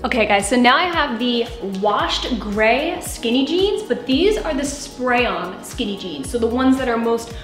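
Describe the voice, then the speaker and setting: Woman, 30s, higher voice, chatty and playful haul vlogger, roomy echo. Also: unhurried, few pauses, soft background music. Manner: chatty, playful and expressive, moving and posing while talking. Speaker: fashion vlogger. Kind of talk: try-on haul review. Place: bright room at home with clothing rack.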